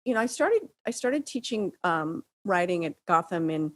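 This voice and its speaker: A middle-aged woman with a somewhat low voice chats as a podcast co-host. Her talk is informal and a bit halting as she searches for words. She often checks that her partner follows her. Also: big pitch swings. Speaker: podcast co-host and writing teacher. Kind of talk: casual conversational chat.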